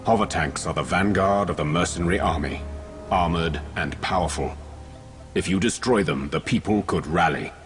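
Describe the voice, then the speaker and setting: An actor playing a reverent, solemn warrior aide in a video game, speaking formally and gravely. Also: male voice, sits low, normal speed, natural voice. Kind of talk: video game cutscene acting. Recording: music behind.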